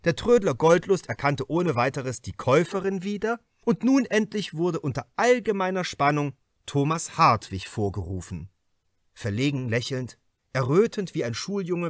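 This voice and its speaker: A man's voice: a little high, big pitch swings, moderate pace, no echo, studio quality. A man reading a crime novel aloud as a scripted literary narration. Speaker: audiobook narrator.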